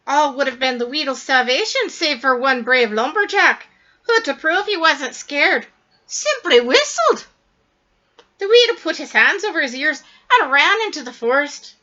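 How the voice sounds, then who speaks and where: A woman reading a children's story aloud in an expressive, dramatic way, drawing out words for emphasis. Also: high-pitched, normal speed, lo-fi sound. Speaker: storybook reader.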